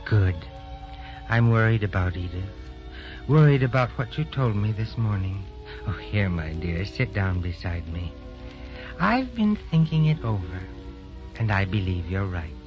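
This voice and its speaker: Rambling, feeble old husband. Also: male voice, on the low side, lively intonation, unhurried. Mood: drowsy, fond. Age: older. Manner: rambling, testy with strangers, fond with his wife. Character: feeble, forgetful old grandfather, doting husband. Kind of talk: sentimental old-fashioned radio drama acting. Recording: muffled old radio recording with music.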